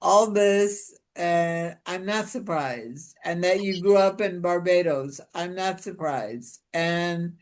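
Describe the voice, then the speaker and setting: Slow, musing woman interviewer. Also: lowish voice. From Pakistan. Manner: slow and musing, with many restarts and "you know". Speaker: interviewer and show host. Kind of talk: casual conversational interview.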